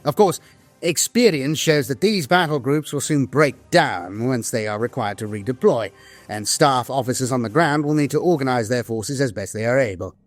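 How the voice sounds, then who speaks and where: A grave staff officer from a far-future war audio drama, played by a man, with a mid-pitched voice. He delivers journals and briefings in a formal, solemn, measured way. The sound is close and dry, with faint music underneath. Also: no echo, lightly acted. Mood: formal, resolute. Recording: music behind a studio voice.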